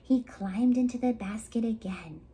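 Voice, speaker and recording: A woman reading a children's story aloud expressively, with measured dramatic pauses. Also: fairly high pitch, warm tone. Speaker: storybook reader at a community library.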